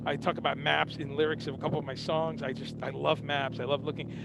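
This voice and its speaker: A man's voice: fairly high pitch, little pitch movement, fast, vocal fry, over loud music, poor audio. A man in his fifties from Guyana, hosting a show with a loose, rambling casual monologue. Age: 50s. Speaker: host.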